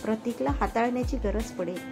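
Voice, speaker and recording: A woman voicing a scheming male doctor and secret agent in a storybook tale, measured and conspiratorial. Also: a little high, gravelly. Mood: secretive. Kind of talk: storybook narration dialogue. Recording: music under the voice.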